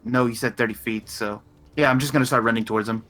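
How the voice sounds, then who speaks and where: Bright-voiced man, hesitant game player. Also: medium pitch, steady tone, fast talker, faint music behind. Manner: hesitant, unsure of the rules. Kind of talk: casual game chat.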